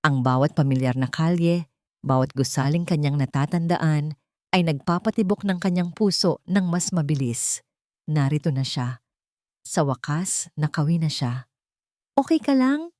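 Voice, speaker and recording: A woman with a low-pitched voice narrates a dramatic audiobook. Her delivery is measured and suspenseful, and the sound is dry and close, with no room around it. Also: darkish tone, dry recording. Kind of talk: dramatic scripted story narration.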